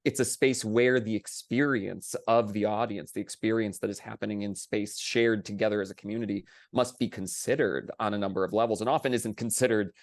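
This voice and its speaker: Lower-voiced man, dry sound, discursive panelist. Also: gravelly, no echo. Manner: discursive, hedging, restates his points. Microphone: video call.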